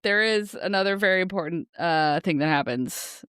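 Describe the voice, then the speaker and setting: A woman's voice: lowish voice, normal speed, a bit bright, smooth, dry recording, clean studio sound. A woman co-hosting a podcast, chatting casually about a book in a jokey, questioning way. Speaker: podcast co-host. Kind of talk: casual book discussion chat.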